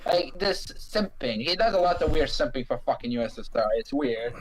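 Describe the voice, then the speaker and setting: Man, heated casual debate, lively swooping pitch, profane and emphatic, home mic. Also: a little fast, low-quality recording. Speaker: debate guest.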